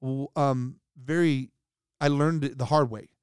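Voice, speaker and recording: A man's voice: mid-range voice, steady tone, a little slow, clean tone, dry recording, studio quality. A man co-hosting a podcast, chatting casually and walking through a list with an upbeat manner and frequent restarts. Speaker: podcast co-host.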